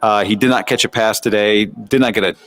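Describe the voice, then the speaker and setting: A man's voice: on the low side, steady tone, fairly quick, fairly bright tone, rough recording. A man with an American accent giving conversational, analytical sports commentary as a show host, often posing rhetorical questions and asides. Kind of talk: sports commentary, practice recap.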